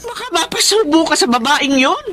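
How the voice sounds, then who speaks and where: A woman's voice: high-pitched, very animated, normal speed, bright, forceful tone, exaggerated voice. A woman playing a worried, suspicious Filipino mother of grown children in a radio drama, with an anxious, scolding manner. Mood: upset, scolding.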